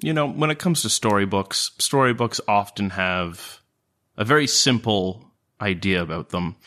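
A man voicing a reserved, cerebral serpent-folk outcast in a tabletop game, speaking in formal, measured phrases. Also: on the low side, bright, forceful tone. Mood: lecturing, earnest. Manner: formal, philosophical, measured wording.

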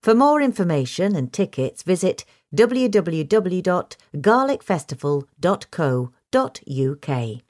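Low-pitched woman narrator, lively intonation. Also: unhurried, few pauses. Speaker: article reader. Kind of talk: scripted narration of a gardening article.

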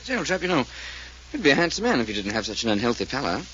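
An actor playing a polite, genial British gentleman sidekick in 1940s radio style, courteous and chappish. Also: medium pitch, sing-song, rapid-fire. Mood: curious, polite. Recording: muffled, hissy old radio recording.

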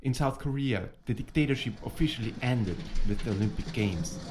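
A man's voice: medium pitch, animated, brisk, noisy background. A man co-hosting with serious, prepared explanatory narration.

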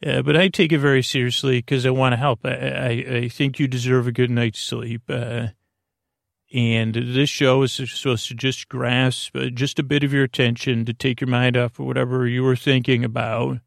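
A man hosting a sleep podcast, wandering through a silly, halting bedtime monologue full of restarts and asides. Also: mid-range voice, level tone, vocal fry, no echo, studio quality. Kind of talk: rambling, meandering bedtime monologue.

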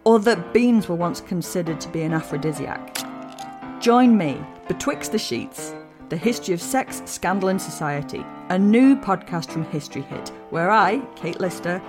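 A woman's voice: lowish voice, animated, normal speed. A woman presenting a scripted podcast trailer in a playful, teasing manner. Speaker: podcast promo host. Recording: music bed behind.

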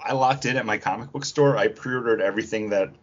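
A man co-hosting a podcast, casually running through news with a fan's enthusiasm and a husky voice. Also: lowish voice, fast. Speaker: podcast co-host. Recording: dull lo-fi recording.

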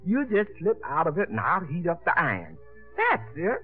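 A narrow, tinny old radio recording features a chummy female club attendant. She is brisk and unflappable, with animated, swinging intonation in 1950s comedy style. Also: lowish voice, slightly rough, soft background music, full character voice.

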